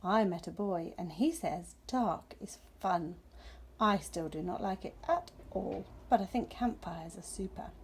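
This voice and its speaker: A woman's voice: mid-range voice, measured pace, a little hiss or hum, lightly acted. A woman voicing an eager, timid young baby owl boy in a children's storybook. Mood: earnest. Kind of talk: children's picture book reading.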